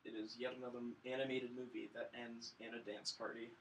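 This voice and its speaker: Young man, bright crisp edge, wry joking film chat, faint background noise. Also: mid-range voice, flat delivery, brisk, poor audio. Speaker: podcast co-host reviewing films. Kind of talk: casual film review banter.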